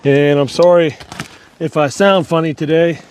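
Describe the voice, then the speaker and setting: Hoarse man vlogging on his farm. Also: a little high, fairly even intonation, moderate pace, darkish tone, slight background noise. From Ohio. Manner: chatty, laughs between remarks. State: losing his voice. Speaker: homestead vlogger. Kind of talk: casual vlog walkthrough. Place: farm outdoors and basement.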